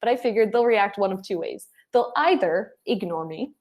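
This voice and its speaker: A woman speaking as an interview guest, giving advice and telling anecdotes in an animated, conversational way. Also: mid-range voice, normal speed, muffled recording. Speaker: interview guest, head of client acquisition.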